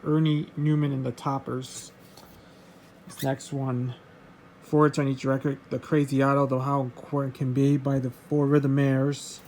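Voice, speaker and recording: A man casually showing his record collection, reading out titles in a halting, offhand way. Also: mid-range voice, flat delivery, darkish tone, slight background noise, rough recording. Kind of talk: casual show-and-tell vlog.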